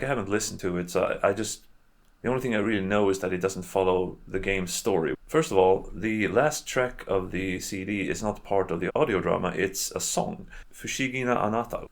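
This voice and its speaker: A man hosting a video in casual, unscripted commentary, with a halting, rambling and hedging way of talking. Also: sits low. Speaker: video host reviewing games and adaptations.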